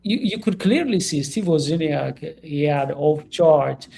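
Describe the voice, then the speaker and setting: A man's voice: a little high, expressive, takes it slowly, vocal fry, dark tone. A middle-aged man from Ethiopia, a leadership coach speaking as an interview guest, earnest and emphatic.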